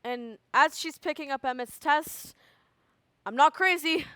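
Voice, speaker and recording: An anxious, animated school student tells a story in a high voice, performed by a young woman. The monologue is conversational yet emphatic, broken by dramatic pauses. The recording is dry. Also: no echo. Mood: nervous disbelief. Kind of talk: theatrical monologue.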